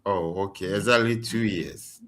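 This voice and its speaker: A man's voice: lowish voice, normal speed, a bit bright. A man hosting a casual interview, asking questions in a conversational way. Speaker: interviewer, host.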